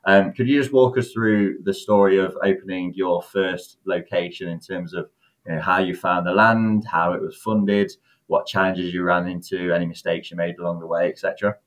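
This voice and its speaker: Low-voiced male podcast host. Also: steady tone, moderate pace. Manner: friendly, structured questioning. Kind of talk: casual interview questions.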